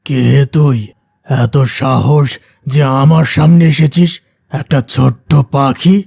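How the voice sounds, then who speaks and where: An actor voicing a boastful, menacing rakshasa demon in a cartoon, gravelly and taunting in manner. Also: medium pitch, slow, a little mellow, dry recording, clean studio sound, exaggerated voice. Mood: scornful. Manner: taunting, domineering. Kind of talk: cartoon character acting.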